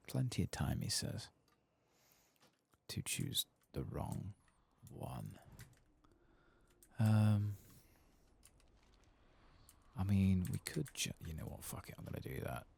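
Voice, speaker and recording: Male ASMR game host, very low breathy voice with heavy creak, casual muttered commentary. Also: sing-song, slow, long pauses, a little mellow. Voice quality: husky, breathy, often dropping to a whisper. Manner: casual, self-mocking muttering, with swearing.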